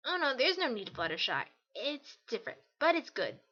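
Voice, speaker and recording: A woman's voice: high voice, sing-song, normal speed, bright, forceful tone, breathy edge, highs cut off, exaggerated voice. A woman voicing a brash, casual young female pegasus pony, blunt and enthusiastic, in a story reading. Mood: casual, appreciative. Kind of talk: fan audiobook character acting.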